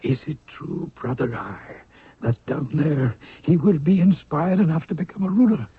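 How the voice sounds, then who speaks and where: Radio drama role: timid, pious Egyptian courtier, high husky voice, fretful and hesitant, on muffled vintage broadcast sound. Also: male voice, expressive, normal speed, no echo. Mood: anxious, doubtful. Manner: hesitant, anxious, questioning. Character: timid, pious brother of a royal schemer. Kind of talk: 1970s radio drama acting.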